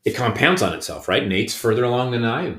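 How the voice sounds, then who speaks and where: A middle-aged man co-hosting a podcast, talking reflectively in casual conversation, with many pauses and restarts. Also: mid-range voice, very animated, a bit bright, a little hiss or hum. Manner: hesitant, many ums and restarts. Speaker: podcast co-host. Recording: echoey room.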